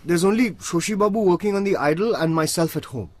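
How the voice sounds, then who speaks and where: An actor playing a polite, evasive household secretary in a radio drama, with a husky voice. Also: male voice, high-pitched, lively intonation, fast, no room sound.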